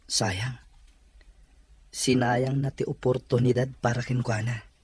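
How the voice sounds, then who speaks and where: A woman acts a regretful friend of the family in an audio drama. The voice is extremely low for a woman, with a subdued, sympathetic delivery. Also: fairly even intonation, takes it slowly, darkish tone, breathy edge, full character voice. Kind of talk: Ilocano audio drama acting.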